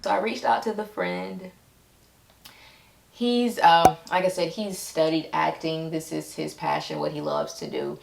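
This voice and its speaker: A woman vlogger speaking candidly and informally in a halting way, as a writer talking about her own creative work. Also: deep voice, animated, gravelly, a little hiss or hum. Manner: halting, informal, acts out quoted remarks. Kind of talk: candid personal vlog. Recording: echoey room sound.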